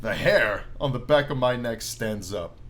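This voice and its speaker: A man playing a game on his channel, reading the story aloud as animated narration and dropping in casual, jokey asides. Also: mid-range voice, unhurried. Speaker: gaming YouTuber reading a visual novel aloud. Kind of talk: let's play commentary mixed with dramatic read-aloud narration.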